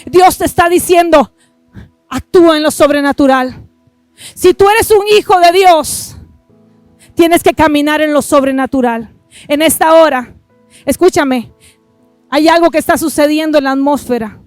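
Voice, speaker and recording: High-voiced woman preaching fervently. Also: dry recording, soft background music. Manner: impassioned, repeats "listen to me", swings between rushed and drawn-out phrases. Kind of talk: fervent televised sermon and offering appeal.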